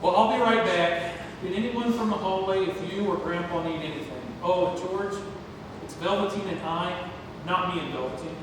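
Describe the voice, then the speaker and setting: A man at a play table read, playing an awkward, apologetic father who speaks haltingly. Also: high voice, close to an everyday voice. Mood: mildly exasperated. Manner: hesitant, halting. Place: large indoor theatre room, far microphone. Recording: distant, echoey hall, noisy room recording.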